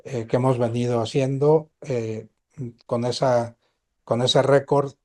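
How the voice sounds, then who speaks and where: A man's voice: mid-range voice, steady tone, slow pace, mellow. A man moderating a webinar, making announcements and introducing the speaker, with many hesitant fillers.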